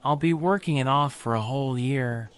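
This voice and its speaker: A man's voice: mid-range voice, normal speed, smooth, pitched above the performer's own voice, lightly acted. A man voicing Jack, a young boutique worker anxious about a borrowed dress. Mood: worried.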